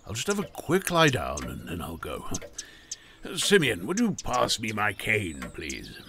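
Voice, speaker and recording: Actor as a faltering, frail old uncle, swooping pitch. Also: male voice, huge pitch swings, unhurried, noisy background, heavily acted. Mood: weakly reassuring. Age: older. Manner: faltering, rambling, groaning. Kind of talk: audio drama acting.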